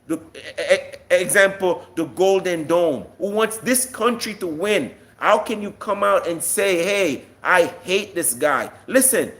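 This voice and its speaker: A man's voice: sits high, a little slow, hardly any pauses, a bit bright. A man delivering an impassioned, combative political monologue to online viewers, emphatic and full of rhetorical questions. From Jamaica. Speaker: live-stream political commentator.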